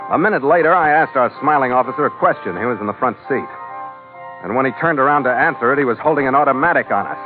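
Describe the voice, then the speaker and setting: An actor playing a tough, wry sea captain, narrating in the terse, dry style of a 1940s radio adventure. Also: male voice, mid-range voice, narrow, tinny audio, close to an everyday voice. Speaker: lead actor and first-person narrator. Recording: thin old radio broadcast recording. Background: loud music.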